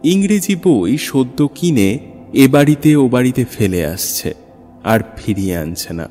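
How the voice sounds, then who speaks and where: Guy narrating a literary audio story, soft mellow voice, expressive, big reverb, music behind. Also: medium pitch, a little slow. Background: background music.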